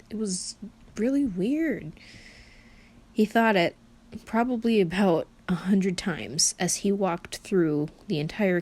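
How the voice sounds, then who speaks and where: A woman reads a piece of fan fiction aloud at a slow pace. Her pitch sits in the middle range for a woman. The delivery is informal and conversational, with halting phrasing as she narrates. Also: darkish tone.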